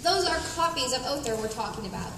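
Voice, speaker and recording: A woman playing an excitable, bossy young adventurer in live comic radio theatre, exclaiming theatrically. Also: high-pitched, fast. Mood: exasperated. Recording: echoey noisy hall, far from mic.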